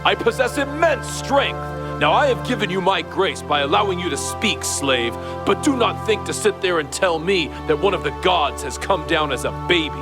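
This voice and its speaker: An actor playing a proud, commanding Roman officer who speaks formally and thoughtfully in a Bible audio drama. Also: male voice, sits high, expressive, over music. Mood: indignant. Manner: formal, stately, measured.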